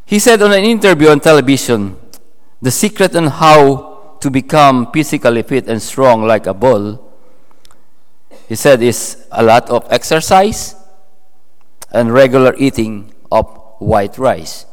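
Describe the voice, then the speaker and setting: Slow Filipino-accented preacher in a reverberant hall. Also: male voice, medium pitch, clear, resonant tone. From Philippines. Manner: halting, with many fillers and restarts. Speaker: pastor. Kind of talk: sermon. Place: church hall.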